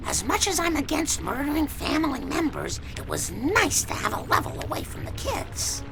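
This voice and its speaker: A middle-aged cartoon wife with an extremely gravelly rasp. Her voice sits high and swings widely as she chides and steers in a sensible, well-meaning way. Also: wildly swooping pitch, moderate pace, over music, exaggerated voice, poor audio. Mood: dry, resigned. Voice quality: raspy, gravelly. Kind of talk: cartoon video game dialogue.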